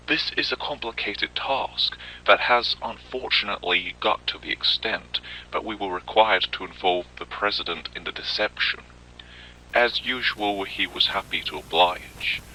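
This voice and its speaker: Man acting a very deep, forceful government briefing officer. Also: a little hiss or hum, poor audio. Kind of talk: scripted military briefing narration, analog horror.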